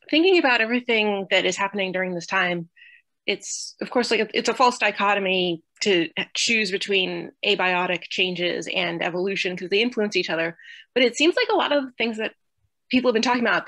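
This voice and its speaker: A woman's voice: medium pitch, fairly quick, fairly bright tone, no room sound. A young woman with a mid-range voice speaks on a video call with very little room sound. She reasons aloud, a little tentatively, in a thoughtful academic style. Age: young adult. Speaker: researcher asking a question. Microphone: video call computer mic.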